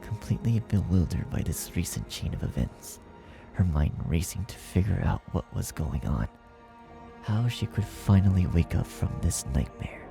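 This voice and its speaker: Low, gravelly male horror story narrator. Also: lively intonation, normal speed. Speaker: narrator, fan fiction reader. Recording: music behind the narration. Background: music.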